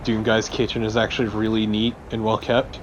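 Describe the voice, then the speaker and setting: A man's voice: on the low side, completely flat delivery, fairly quick, muffled recording, a little hiss or hum. A man co-commentating on a video game in casual, wry banter. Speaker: let's play co-commentator. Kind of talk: casual banter over gameplay.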